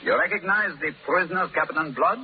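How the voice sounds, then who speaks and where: An actor playing a menacing, mock-courteous French pirate captain in 1930s radio style. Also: male voice, fairly high pitch, brisk, lightly acted. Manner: theatrical, mock-courteous, with foreign phrasing. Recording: scratchy, tinny 1930s radio recording.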